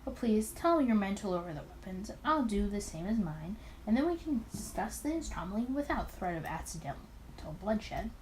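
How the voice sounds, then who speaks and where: A woman voicing a calm, smooth-talking male mobster from a visual novel, courteous and sly. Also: mid-range voice, normal speed, slight background noise, lightly acted, low-quality recording.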